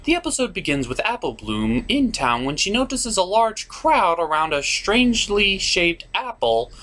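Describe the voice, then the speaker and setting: A man's voice: high voice, sing-song, normal speed, sharp tone. A man hosting a scripted comedic cartoon review, narrating in a theatrical, exclamatory way.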